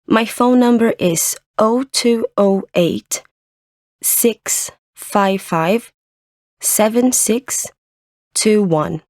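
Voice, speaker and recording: Slow, careful businesswoman on voicemail. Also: mid-range voice, darkish tone, no room sound, studio quality. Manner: careful, clearly enunciated, spelling things out slowly. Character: polite businesswoman leaving a work voicemail. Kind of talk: scripted listening exercise dialogue.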